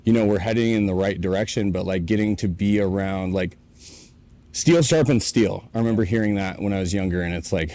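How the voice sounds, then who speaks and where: A man in his late twenties with a lowish voice speaks earnestly and reflectively in a casual podcast chat. He tells his story openly, recorded in a warehouse. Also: a little fast, dark tone. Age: 20s. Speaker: podcast co-host.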